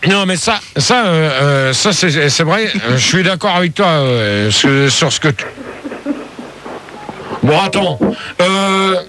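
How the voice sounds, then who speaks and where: A high-pitched man hosts a rowdy call-in debate on the radio. He stumbles through many fillers and scolds callers who interrupt. Faint noise sits under his voice. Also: animated, slow, bright, forceful tone.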